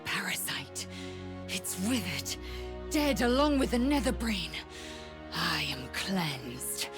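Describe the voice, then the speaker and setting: A proud, fierce alien warrior woman in a video game. She declaims slowly and imperiously, her pitch moving widely, with music underneath. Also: very animated. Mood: tender pride. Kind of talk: dramatic video game acting.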